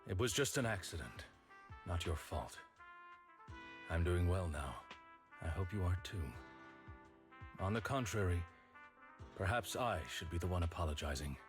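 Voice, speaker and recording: Low-voiced, composed, sincere man. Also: lively intonation, moderate pace, long pauses, music in the background. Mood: apologetic. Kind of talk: video game voice acting.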